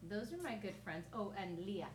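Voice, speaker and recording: A woman's voice: medium pitch, animated, moderate pace. A woman from Colombia, an audience volunteer, giving easygoing short answers and chuckling during an onstage interview. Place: live comedy stage. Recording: roomy, distant, noisy live venue.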